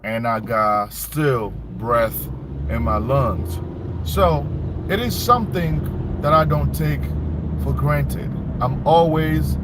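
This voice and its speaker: Man livestreaming over loud car noise. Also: medium pitch. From Democratic Republic of Congo. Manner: repeats phrases for emphasis. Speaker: livestream host. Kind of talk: casual livestream chat to viewers. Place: inside a car while driving. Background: engine and traffic noise.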